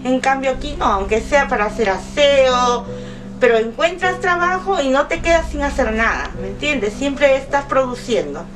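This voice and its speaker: A woman's voice: lively intonation, moderate pace. A woman in her fifties from Peru, answering interview questions with emphatic, earnest speech. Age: 50s. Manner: emphatic, rising pitch when stressing points. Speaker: interviewed migrant. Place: small indoor office. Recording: distant mic with background guitar music.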